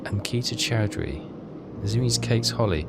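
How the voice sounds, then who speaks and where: A man's voice: lowish voice, expressive, normal speed, creaky, noisy background. A man giving casual game commentary and reading text aloud, hesitant and repeating himself as he thinks aloud. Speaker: let's player. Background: game music.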